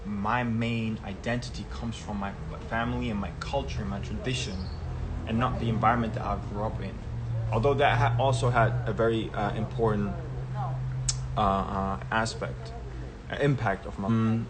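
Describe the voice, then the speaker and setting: A young man hosting a casual live stream, talking in a relaxed way and often checking in with "right?" and "yeah?". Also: lowish voice, moderate pace. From Germany. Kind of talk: casual livestream chat. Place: outdoors at a travel spot. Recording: noisy background ambience.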